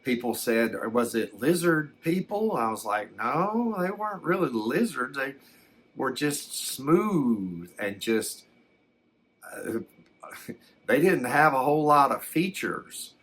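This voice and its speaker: A man's voice: mid-range voice, expressive, a bit bright. A man playing a folksy, plain-spoken country fellow who hesitantly recounts his alien abduction as an ASMR story. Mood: bemused, hesitant. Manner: hesitant, halting, plain-spoken rural phrasing. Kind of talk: slow ASMR first-person storytelling.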